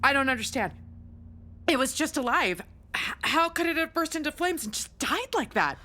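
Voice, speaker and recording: An animated, practical ranger mom. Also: female voice, sits high, moderate pace. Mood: amazed. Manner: earnest, expressive. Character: practical, stubborn forest ranger mom, concerned. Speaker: voice actor. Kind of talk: family audio adventure acting.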